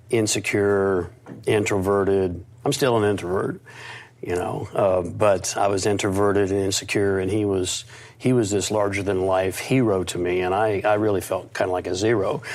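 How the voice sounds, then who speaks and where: A man's voice: lowish voice, steady tone, normal speed, a little mellow. A middle-aged man from the United States, an interview guest, telling his life story in a confident, reflective way with some stuttered restarts.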